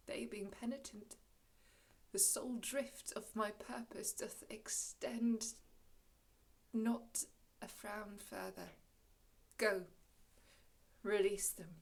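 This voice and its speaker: Woman doing a solemn old sorcerer, shakespeare monologue, higher voice, really slow and dramatic, big pauses. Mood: hushed, forgiving. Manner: measured, dramatic, long pauses, swings from hushed to declaiming. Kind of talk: classical Shakespearean stage monologue.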